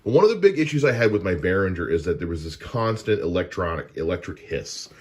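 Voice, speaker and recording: A man's voice: fast, breathy edge. A man hosting a podcast, casually walking through his studio gear on a dynamic broadcast mic, with frequent "uh" fillers. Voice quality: deep voice. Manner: relaxed and explanatory, with frequent "uh" fillers. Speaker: podcast host. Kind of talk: casual gear unboxing walkthrough.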